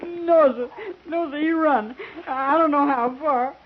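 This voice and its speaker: An actor playing a frightened young army deserter, pleading and stammering, with a voice. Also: male voice, very high voice, a little slow. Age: young adult. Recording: tinny old radio broadcast.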